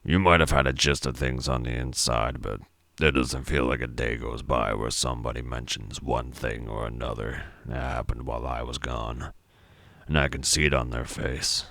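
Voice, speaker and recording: A man voicing a bitter, world-weary ex-convict in a dramatised story, with a gruff, brooding manner and a gravelly voice. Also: extremely low pitch, steady tone, moderate pace, fairly bright tone, no echo, heavily acted. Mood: weary, reflective.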